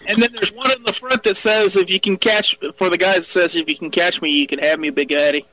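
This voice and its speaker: A man's voice: high voice, fairly even intonation, fairly quick, background noise. A man in a casual internet radio chat, trading teasing jokes and mock protests. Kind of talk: casual joking banter.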